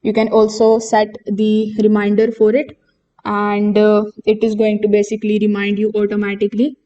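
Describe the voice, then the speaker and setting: Female tutorial narrator, flat and mellow delivery, patient step-by-step explanation. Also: mid-range voice, normal speed, muffled recording. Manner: steady explanatory instruction with occasional fillers. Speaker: software tutorial presenter. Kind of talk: screen-recorded software walkthrough.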